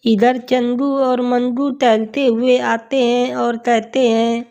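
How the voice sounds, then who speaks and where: A woman with a very high, mellow voice narrates a scripted bedtime story. She reads slowly, as a storyteller. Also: level tone.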